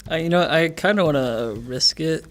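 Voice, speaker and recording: A man with a somewhat high voice plays a tabletop game. He talks casually and tentatively, working out his choices out loud. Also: normal speed, faint music behind.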